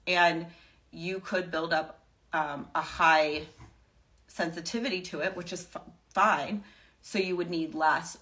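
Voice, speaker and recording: A woman with a slightly low voice talks off the cuff, as if hosting a live chat for followers. She gives advice in an emphatic, reassuring way, often restating a point for weight. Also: a bit bright. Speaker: live stream host giving wellness advice.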